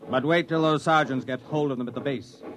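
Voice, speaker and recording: An actor playing a brisk, scornful general, crisp and dismissive, in 1950s radio style. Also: male voice, medium pitch, close to an everyday voice. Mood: smug. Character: brisk, scornful, calculating military general from Earth. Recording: noisy old radio broadcast.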